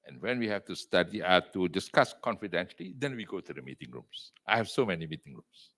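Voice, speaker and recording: An older man with a Malaysian accent talking as an interview guest, loud and emphatic, dropping in Malay words and leaping to high exclamations. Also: lively intonation, fast. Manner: mixes in Malay words and phrases. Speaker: entrepreneur and executive chairman, interview guest. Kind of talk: interview over video call.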